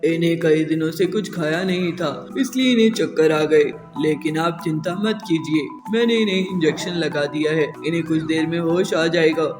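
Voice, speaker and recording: A man's voice: a little high, normal speed, hardly any pauses, natural voice. A man voicing a reassuring, matter-of-fact male bird doctor in a children's cartoon. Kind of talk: Hindi children's cartoon dubbing. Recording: echoey long reverb, music behind.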